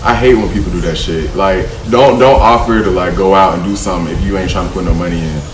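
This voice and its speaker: A man's voice: sits low, fast. A young American man vlogging in a loose, slangy way, often saying bro and like. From United States. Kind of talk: casual vlog chat. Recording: roomy, distant, air-conditioner hum.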